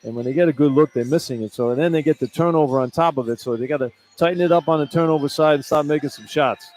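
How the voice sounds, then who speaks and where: A man's voice: a little high, fast talker, a little mellow. A man giving live radio color commentary on basketball, explaining plays conversationally and often repeating his phrases. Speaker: color analyst. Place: college basketball arena. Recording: arena noise behind live broadcast. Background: arena ambience.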